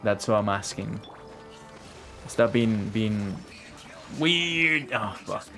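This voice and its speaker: A man's voice: fairly low pitch, lively intonation, very slow, sharp tone. A man giving casual live-stream game commentary in slangy, chatty language with plenty of swearing. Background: game music.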